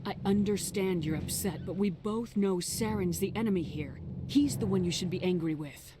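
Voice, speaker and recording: Woman acting as level-headed military commander lady in a sci-fi game, lowish voice, firm, noisy game ambience. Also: lightly acted. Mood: firm, persuasive. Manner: firm, measured, persuasive. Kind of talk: dramatic video game acting. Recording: clear background noise of game ambience.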